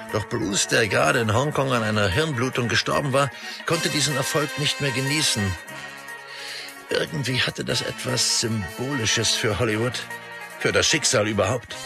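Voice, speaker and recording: A man's voice: medium pitch, moderate pace, gravelly, close to an everyday voice. An actor playing a film director who reflectively reads his memoir in a radio drama. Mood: wistful. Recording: music playing behind.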